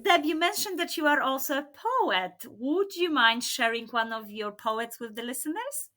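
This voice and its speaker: Bright, eager woman host, Polish native. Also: sits high, expressive, moderate pace. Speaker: podcast host. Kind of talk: interview hosting.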